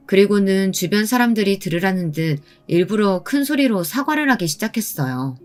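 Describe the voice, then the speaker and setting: A woman telling a first-person story in a calm, pointed manner. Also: mid-range voice, normal speed. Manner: calm and pointed, recounting with indignation. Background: soft background music.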